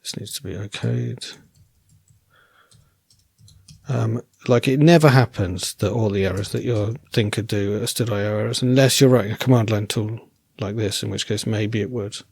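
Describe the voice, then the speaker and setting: A man thinking aloud while writing code as a programming tutor, relaxed and wry, correcting himself as he goes, and losing his voice. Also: on the low side, fairly quick, gravelly, hardly any pauses, breathy edge, no room sound.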